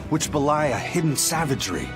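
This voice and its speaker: An actor playing an enthusiastic antiques connoisseur adventurer in a video game, lecturing in a pompous, reverent way. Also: male voice, fairly high pitch, very animated, a little fast. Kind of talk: English-dub video game acting. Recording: loud music behind.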